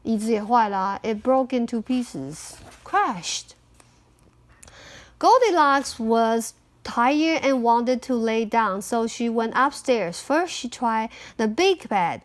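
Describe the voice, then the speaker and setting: Woman, native Mandarin speaker, high voice, slow storybook reading, expressive, casual asides. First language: Mandarin Chinese. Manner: expressive, switches between reading and chatty asides. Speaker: parent reading aloud to child. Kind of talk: animated picture-book read-aloud with casual asides.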